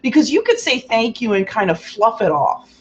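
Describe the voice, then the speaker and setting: A woman with a loud, carrying voice giving a rambling, casual self-help talk as a Reiki practitioner, acting out quotes with big exaggerated jumps in pitch. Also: sing-song, normal speed, dull audio. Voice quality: loud voice that carries. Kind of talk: casual motivational talk to viewers.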